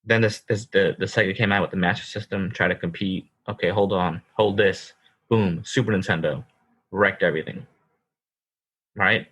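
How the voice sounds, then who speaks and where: A man's voice: sits low, fairly even intonation, normal speed, fairly bright tone, breathy edge. A man in his thirties co-hosting a casual podcast, emphatic and calling his co-host bro. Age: 30s. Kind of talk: casual chat.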